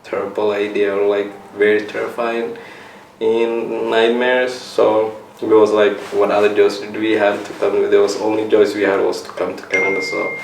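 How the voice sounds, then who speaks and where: A young man from Somalia speaks in a low, gravelly voice that stays almost flat in pitch. He tells his story haltingly, restarting as he looks for words. The room echoes and the mic sits a bit far off, with faint hiss. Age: young adult. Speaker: interviewee. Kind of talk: personal storytelling interview. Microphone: set up mic in room.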